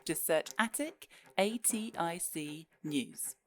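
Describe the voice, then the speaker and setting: An actress playing a polished interstellar news broadcaster, announcing a scripted podcast promo in a crisp manner. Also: female voice, lowish voice, animated, takes it slowly. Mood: upbeat.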